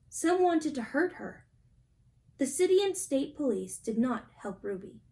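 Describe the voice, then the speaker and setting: A woman's voice: high-pitched, dark tone. A young adult woman reading a picture book aloud as a storybook reader. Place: small indoor room at home.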